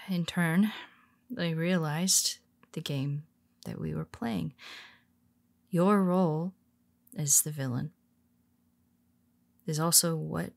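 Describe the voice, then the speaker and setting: A scheming heroine, voiced by a woman speaks low and unhurried, with a gravelly edge on her words. She keeps her tone calm, wry and confiding, and often drops into a soft whisper. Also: full character voice. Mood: calculating. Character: cool, scheming female superhero confessing to her nemesis. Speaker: voice actor. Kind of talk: audio roleplay monologue.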